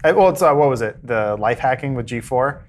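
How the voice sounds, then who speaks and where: A man's voice: medium pitch, expressive, brisk. A man on a podcast panel, bantering loosely and rambling in casual chat.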